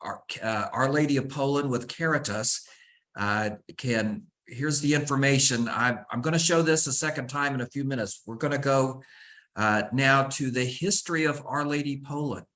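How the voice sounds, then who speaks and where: A man's voice: mid-range voice, fairly quick, sharp tone. A man hosting a live talk on a video call, who often stammers and repeats words. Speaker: host, executive director.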